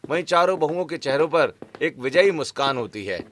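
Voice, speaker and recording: A man's voice: fairly high pitch, lively intonation, fairly quick, a bit bright. Man narrating a cartoon family story.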